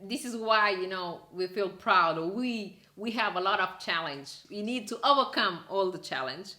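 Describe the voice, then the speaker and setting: A woman hosts an interview in a halting way, restarting phrases and searching for words. Her voice has an ordinary pitch, and the recording carries a noticeable room echo. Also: bright, forceful tone. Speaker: interview host. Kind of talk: interview questions.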